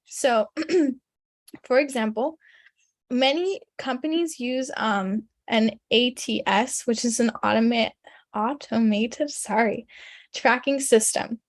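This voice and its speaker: A young woman whose first language is German, giving a casual, chatty resume webinar full of "like" and "um" and frequent throat clearing. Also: a little high, no echo. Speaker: career coach presenting a resume webinar.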